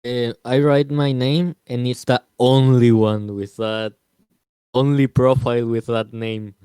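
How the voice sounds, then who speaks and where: A young man from Mexico with a Mexican Spanish accent, chatting casually and pausing to search for words. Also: medium pitch, steady tone, mellow, clear, resonant tone, dry recording, clean studio sound. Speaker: podcast co-host. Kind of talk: casual chat.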